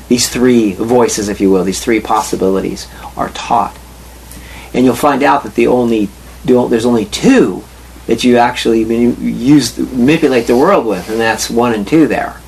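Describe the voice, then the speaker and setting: A man leading a spiritual study group, affirming and explaining in a slow, emphatic way. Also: medium pitch, vocal fry, slight background noise, poor audio.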